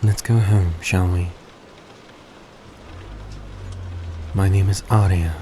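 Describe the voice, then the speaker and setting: A man playing a suave, tender centuries-old vampire in a roleplay audio drama, speaking in a soft, coaxing, genteel way to the listener. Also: sits low, normal speed, lightly acted. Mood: caring, playful. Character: suave, gracious centuries-old male vampire, young-looking, tender and grateful. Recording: steady background noise, vehicle-like ambience.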